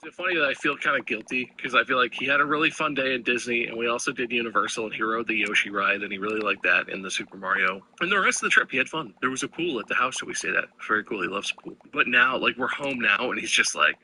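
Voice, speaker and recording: A man chatting casually as a podcast co-host, an animated storyteller who swears freely and laughs often. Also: medium pitch, quick pace, few pauses, a little hiss or hum, low-quality recording. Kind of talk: casual chat.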